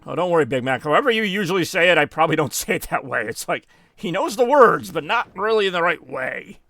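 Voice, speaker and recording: A man's voice: fairly high pitch, big pitch swings, fast talker, fairly bright tone, dry recording. A man giving rambling, self-mocking live gameplay commentary as a streamer, now and then dropping in German phrases. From United States. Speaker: gaming streamer.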